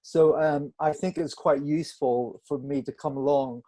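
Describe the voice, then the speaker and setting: A man answering as a director in a Q&A, halting and searching for his words in a self-effacing, conversational way. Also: a little high, fairly even intonation, mellow. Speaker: film director interviewed on a panel. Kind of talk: conversational Q&A explanation.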